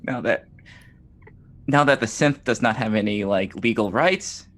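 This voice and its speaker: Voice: mid-range voice, measured pace. A tabletop roleplaying player chatting casually, with dry, wry remarks about the game.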